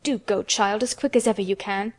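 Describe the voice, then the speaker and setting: A woman's voice: mid-range voice, natural voice. A woman voicing a frightened, flustered nurse in a storybook, speaking in an urgent, anxious way. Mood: urging. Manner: hurried, urgent, hesitant.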